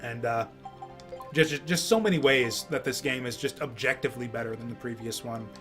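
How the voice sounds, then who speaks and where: A man commenting casually on a game he is playing. His voice sits at an average pitch, and he rambles haltingly, repeating himself, with faint music behind. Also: sharp tone. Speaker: game streamer. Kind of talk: casual let's play commentary.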